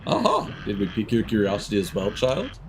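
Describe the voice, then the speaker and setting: A man voicing a studious, chatty elderly scholar in a game, lecturing in a formal, theatrical, measured way. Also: lowish voice, huge pitch swings, noisy background. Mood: pedantic, eager.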